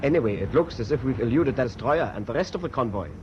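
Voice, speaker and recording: An actor playing a respectful, matter-of-fact German ship's officer in a wartime radio drama, formal and businesslike. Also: male voice, mid-range voice, expressive, fast, lightly acted. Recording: muffled radio recording, loud ship noise.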